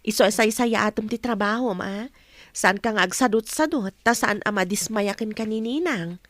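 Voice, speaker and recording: A woman's voice: mid-range voice, normal speed, a bit bright, dry recording, lightly acted. A woman playing a meek, earnest young wife with an anxious, deferential way of talking, in a radio drama. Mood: encouraging.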